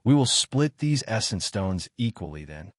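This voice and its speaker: A man voicing a calm, matter-of-fact swordsman hero in a fantasy audiobook. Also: fairly low pitch, normal speed, no room sound, clean studio sound. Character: Xiao Chen, calm male swordsman hero.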